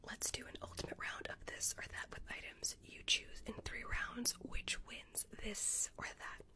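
A woman whispering breathily in an ASMR style, close to a desktop microphone. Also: moderate pace. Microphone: close desktop condenser microphone.

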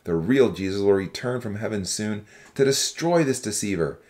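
A man's voice: on the low side, lively intonation, moderate pace, darkish tone, close to an everyday voice. A man voicing a fervent, suspicious young religious heckler in a dramatized audiobook, accusing and declamatory. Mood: accusing, alarmed.

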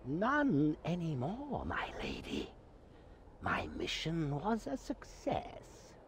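An actor playing a sly, servile poisoner and envoy in a video game, with a breathy, obsequious, deliberate delivery. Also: male voice, on the high side, wildly swooping pitch, a little hiss or hum, exaggerated voice. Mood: sly, hushed. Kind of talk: video game villain acting.

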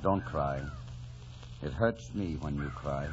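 A man's voice: a bass voice, slow pace, noisy background. An actor playing an anguished, passionate man pining for his lost love in an old radio drama. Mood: tender, brooding. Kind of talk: 1940s radio acting. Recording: muffled old radio broadcast, music.

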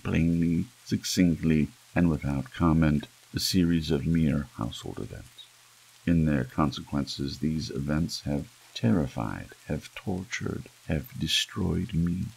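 A low-voiced man reads a gothic horror tale as an ASMR audiobook. His voice is husky and full of gravelly fry. He moves through the text in a measured way, leaving deliberate pauses between phrases. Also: darkish tone, low-quality recording. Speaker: audiobook narrator.

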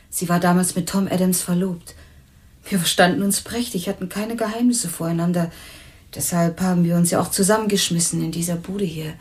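A woman's voice: on the low side, darkish tone, natural voice. An actress playing a candid, unsentimental young working woman in a crime radio drama, talking bluntly and colloquially. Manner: blunt, colloquial, matter-of-fact. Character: candid, unsentimental young former waitress, murder victim's flatmate.

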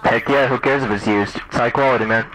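A man's voice: medium pitch, fairly even intonation, fast, a bit bright, soft background music, rough recording. A man hosting a gaming video, chatting casually and calling people dude and bro. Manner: slangy, says dude and bro constantly.

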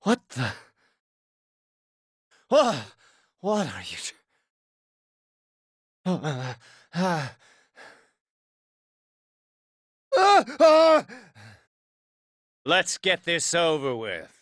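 A man voicing a cold, menacing gangster fighter in a video game, with a raspy, gravelly voice and curt, taunting delivery. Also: fairly high pitch, very animated, extremely slow, long pauses, a bit bright, no echo, clean studio sound, heavily acted. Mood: irritated. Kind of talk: video game combat barks.

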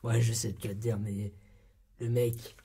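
A young man playing a cheeky, laid-back ex-con turned rookie barber in a comedy ASMR roleplay, soft-spoken and breathy, with slangy, hesitant talk. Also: on the low side, little pitch movement, moderate pace, heavily acted. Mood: cheeky, salesy. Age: young adult. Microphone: close binaural microphone.

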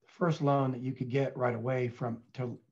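A man's voice: medium pitch, little pitch movement, normal speed, a little mellow. Man, fifties, show panelist explaining business conversationally, mid-range voice, slightly muffled call audio. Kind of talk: casual business discussion. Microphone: headset on video call. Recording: dull, lo-fi video call audio.

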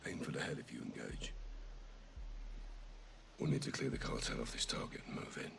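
A low-voiced, seasoned military captain gives clipped, commanding sniper callouts with dry wit, in video game style. The voice sits over clearly noisy game sound. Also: male voice, normal speed, full character voice. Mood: hushed, focused. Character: seasoned special forces captain, sniper, calm and wry. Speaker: squad leader.

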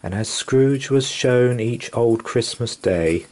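A man reading a rhyming children's story aloud as a storyteller, with deliberate, theatrical expression. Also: mid-range voice, darkish tone. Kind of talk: dramatic rhyming bedtime story narration.

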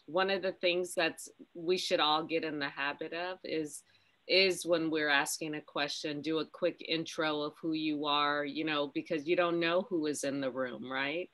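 A middle-aged woman on a video call, giving relaxed, conversational advice. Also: sits low, moderate pace. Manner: relaxed, conversational, many fillers. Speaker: business owner, panelist. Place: home room, indoors.

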